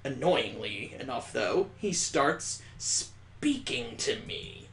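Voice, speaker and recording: A man voicing a detached, world-weary young heroine narrating a visual novel in a measured, dramatic reading. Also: medium pitch, sing-song, a bit bright, a little hiss or hum, pitched below the performer's own voice, full character voice. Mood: annoyed, aloof. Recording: echoey, roomy sound.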